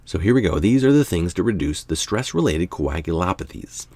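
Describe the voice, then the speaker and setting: A man's voice: deep voice, animated, fairly quick, warm tone, dry recording. A man reading a science article aloud, informally and musingly, with casual asides and the odd stumble over technical words. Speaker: article reader and commentator.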